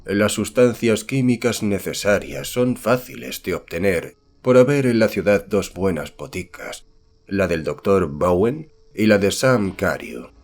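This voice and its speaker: Man playing low-voiced, solemn colonial occultist. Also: lively intonation, a little slow. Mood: secretive, solemn. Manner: archaic, solemn, courteous diction. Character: secretive colonial occultist writing to a fellow sorcerer, formal. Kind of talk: dramatised letter reading in horror audiobook.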